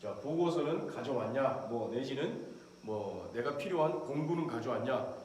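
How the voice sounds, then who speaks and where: A man's voice: medium pitch, lively intonation, normal speed, darkish tone. Lecturing man in a very echoey room. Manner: explanatory, acts out short soldier quotes with a raised voice. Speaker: professor lecturing on military leadership. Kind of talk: recorded online lecture. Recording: very echoey room, faint background noise.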